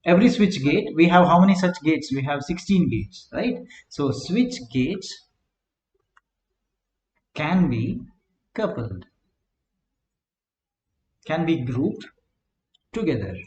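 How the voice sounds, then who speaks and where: A man giving a classroom lecture on circuit design, speaking in slow, halting word groups and often checking in with "right?". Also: fairly high pitch, very animated, a little mellow. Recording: echoey room, faint background hum.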